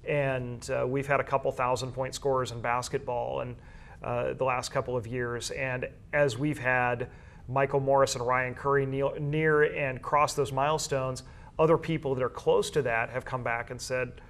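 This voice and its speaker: A man's voice: mid-range voice, little pitch movement, moderate pace, a bit bright. Man explaining in an interview. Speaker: interviewee, school sports information director and play-by-play broadcaster.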